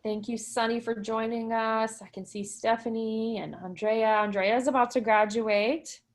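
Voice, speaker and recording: A woman's voice: on the high side, fairly even intonation, normal speed. A woman moderating an online alumni panel over video call, enthusiastic and encouraging as she introduces guests. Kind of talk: upbeat online panel hosting.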